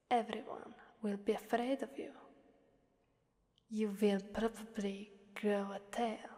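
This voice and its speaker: A woman's voice: mid-range voice, darkish tone, natural voice. A woman voicing a seductive, taunting Krampus demoness in an ASMR roleplay, half-whispering with playful menace. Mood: smug, menacing. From Italy. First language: Italian. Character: seductive, taunting female Krampus demon ruling a fighting arena. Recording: long cavernous reverb.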